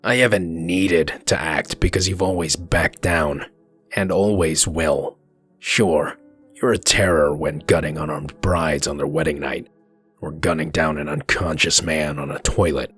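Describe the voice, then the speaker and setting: A man playing a gruff, commanding werewolf detective in a comic dub, curt and threatening, with a gravelly voice. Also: extremely low pitch, steady tone, measured pace, a bit bright, faint music behind, full character voice. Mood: stern, menacing.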